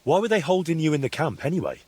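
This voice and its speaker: An actor playing a sensible, helpful adventurer in a video game, speaking in a frank, questioning and wry manner. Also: male voice, a little high, very animated, fast, darkish tone, dry recording. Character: sensible, helpful young male adventurer with dry wit. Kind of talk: video game dialogue acting.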